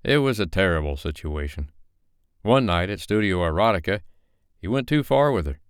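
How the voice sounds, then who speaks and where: A man's voice: deep voice, expressive, normal speed, smooth, dry recording, clean studio sound. A man voicing a fair-minded, protective male character in an audiobook, earnest and reproving. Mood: troubled, indignant.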